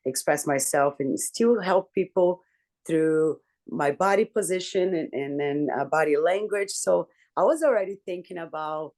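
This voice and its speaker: Deep-voiced woman telling her story. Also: measured pace, a little mellow. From Brazil. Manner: earnest, candid, reflective storytelling. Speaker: book club member. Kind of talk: personal story in a group discussion.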